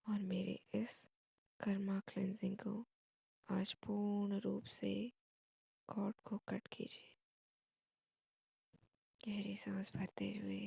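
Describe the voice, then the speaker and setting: An Indian woman leads a guided meditation in a soft, husky, breathy voice. Her tone is mellow and hushed, recorded close and dry. She places short phrases between long pauses. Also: mid-range voice, no room sound.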